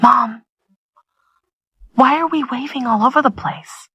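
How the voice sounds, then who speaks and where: A woman playing a complaining child in an audio drama, with a husky, sharp voice. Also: high voice, unhurried, dry recording, dull audio, exaggerated voice.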